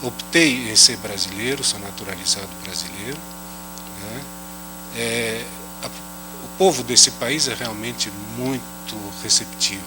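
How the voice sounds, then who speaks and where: A man's voice: mid-range voice, measured pace. A man speaking at an academic round table with a slight foreign accent. He talks unhurriedly and adds "né?" after many phrases. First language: not Portuguese. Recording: audible hum under the voice.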